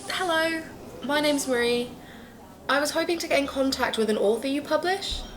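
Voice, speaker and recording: An actress playing an earnest, excitable woman who co-hosts an investigative podcast in an audio drama. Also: high voice, normal speed, a crowd in the background, close to an everyday voice. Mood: businesslike. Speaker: podcast co-host.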